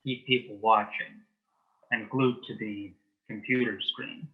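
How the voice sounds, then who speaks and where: A man speaking as the guest in a casual interview, giving measured, concise answers. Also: fairly low pitch, steady tone, breathy edge, narrow, tinny audio. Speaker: interview guest, author and playwright.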